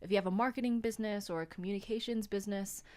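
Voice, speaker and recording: A woman guest on a radio show, calmly explaining business funding in a relaxed, explanatory way and spelling things out slowly. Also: medium pitch. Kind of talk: radio studio chat.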